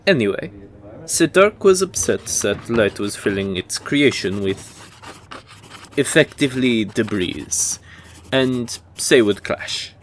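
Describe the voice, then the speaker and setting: A man playing a measured, scholarly university professor who lectures formally and deliberately in a fantasy audio roleplay. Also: mid-range voice, expressive. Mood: lecturing, measured. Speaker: professor giving a history lecture.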